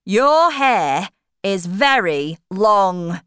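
A woman's voice: medium pitch, very animated, a bit bright, smooth, no room sound, clean studio sound, heavily acted. Woman as blunt, drawling storybook Mad Hatter. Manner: emphatic, drawn-out delivery. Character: eccentric, blunt, irritable male Mad Hatter. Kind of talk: slow children's storybook character voice.

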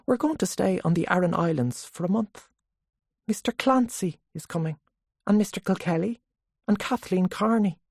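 A narrator voicing a sharp, teasing young nationalist woman with a challenging, questioning manner, in an audiobook story. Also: mid-range voice, normal speed, vocal fry, no echo, studio quality, pitched above the performer's own voice. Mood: friendly, eager.

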